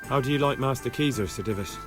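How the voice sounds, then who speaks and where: A man's voice: mid-range voice, quick pace, music in the background, lightly acted. An actor playing an earnest, respectful young man in a medieval video game, speaking plainly. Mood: concerned.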